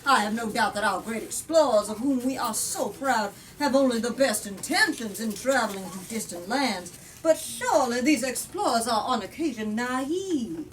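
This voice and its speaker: A woman's voice: a little high, natural voice. A woman reading aloud the part of a pompous, alarmist male politician declaiming a formal speech from a storybook. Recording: distant mic with background noise.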